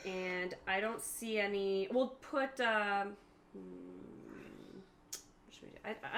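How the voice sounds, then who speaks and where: Bright-voiced woman running a tabletop game. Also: medium pitch, a little hiss or hum. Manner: animated, laughs readily. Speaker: game master and player in a tabletop game. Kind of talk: casual game narration with rules talk.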